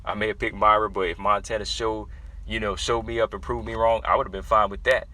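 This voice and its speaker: A young man casually breaking down a fight for his viewers, animated and talking straight to them as y'all. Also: fairly low pitch, brisk. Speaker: MMA analysis vlogger.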